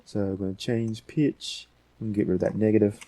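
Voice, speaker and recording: Male tutorial host, low-pitched and dark in tone, casual and rambling, explaining each step. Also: steady tone, normal speed, no room sound. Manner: rambling, thinking aloud. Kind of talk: casual software tutorial, talking through steps.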